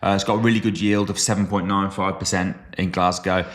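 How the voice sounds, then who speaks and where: A man from the West Midlands co-hosting a podcast in casual chat, animated and teasing, with sudden jumps up in pitch when he stresses a point. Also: brisk. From West Midlands, England. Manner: teasing, joking banter. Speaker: podcast co-host.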